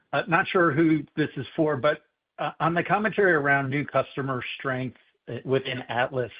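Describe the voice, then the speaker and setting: A man with a medium-pitched voice puts conversational analyst questions on an earnings call. The line is muffled and lo-fi. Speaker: financial analyst asking questions. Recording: dull lo-fi conference phone line.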